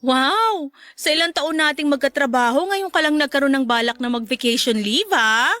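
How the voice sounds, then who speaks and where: Playful Filipina mom and girlfriend, thirties, woman acting, high voice, dry studio sound, teasing radio drama dialogue. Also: moderate pace, sharp tone, no room sound. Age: 30s. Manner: teasing, conversational. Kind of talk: Tagalog audio drama dialogue.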